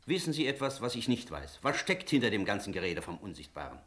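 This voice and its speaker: An actor playing a stern, sceptical village priest in a radio drama, speaking in measured, literary phrases. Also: male voice, fairly low pitch, very animated, fairly bright tone. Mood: agitated, challenging. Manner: measured, rhetorical, literary diction. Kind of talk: German radio drama acting with narration.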